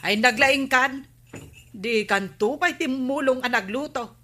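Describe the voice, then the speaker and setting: Actress as scolding village woman, high sharp voice, Ilocano accent, argumentative, exasperated, comedic radio drama. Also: a little slow. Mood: exasperated, haggling. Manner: nagging, argumentative.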